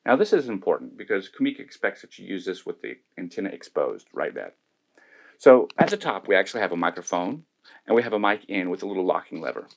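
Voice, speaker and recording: A man's voice: deep voice, big pitch swings, fast, creaky. A man giving a methodical, step-by-step hands-on demonstration of audio equipment. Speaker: tech reviewer demonstrating gear.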